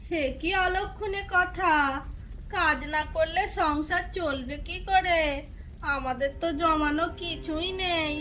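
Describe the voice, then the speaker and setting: A woman's voice: extremely high pitch, slow, pushed and bright, a little hiss or hum, full character voice. A woman playing a scheming, self-serving middle-aged village stepmother in a cartoon folk tale, with pointed, exclaiming delivery. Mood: worried.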